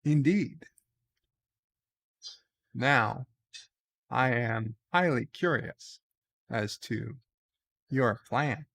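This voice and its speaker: A coolly logical male alien science officer, as a man puts him on in a comic sci-fi story. He talks slowly and formally, in stiff, measured phrases with some creak. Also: medium pitch, fairly bright tone, exaggerated voice. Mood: detached.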